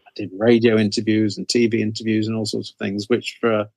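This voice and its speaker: A man's voice: fairly low pitch, little pitch movement, fairly quick, darkish tone, no room sound. Male, 60s, British, interview guest telling career stories conversationally, circling back on phrases, dry recording. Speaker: interview guest, horror author.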